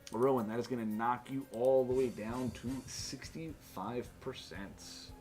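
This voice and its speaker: Lower-pitched man running a game over music. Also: fairly even intonation, a little slow, darkish tone. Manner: informal, swears. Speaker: game master. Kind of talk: casual narrating of a tabletop role-playing game. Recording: music playing behind the voice.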